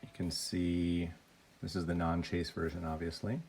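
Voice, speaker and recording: A man with a cold, his voice deeper than usual, chatting casually as he unboxes toys and grades each one. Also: level tone, moderate pace, gravelly, a little hiss or hum. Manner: plain, chatty, grading each item out loud. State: has a cold. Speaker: toy unboxing vlogger.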